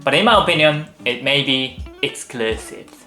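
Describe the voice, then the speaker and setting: A man from Japan with a Japanese accent, a native Japanese speaker, explaining things to viewers as a video host in a hedging manner with frequent softeners. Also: mid-range voice, lively intonation, normal speed, reverberant, soft background music.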